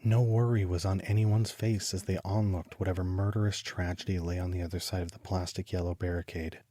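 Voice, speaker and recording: An actor playing a troubled homicide detective, narrating his case in a deliberate, brooding, literary style for an audio drama. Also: sits low, mellow, breathy edge, no room sound. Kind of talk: scripted first-person noir audio drama narration.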